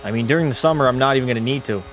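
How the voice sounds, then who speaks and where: A male streamer giving casual play-by-play of a hockey game and answering his chat in a relaxed way. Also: mid-range voice, very fast, faint music behind. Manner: relaxed, drops into terse hockey call mode.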